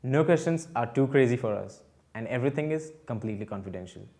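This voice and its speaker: A man's voice: mid-range voice, expressive, quick pace, dark tone. A man hosting a youth health Q&A, explaining things upbeat and reassuringly straight to viewers. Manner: reassuring, addresses viewers directly. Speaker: host of a youth health Q&A show. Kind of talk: upbeat presenter explainer to camera.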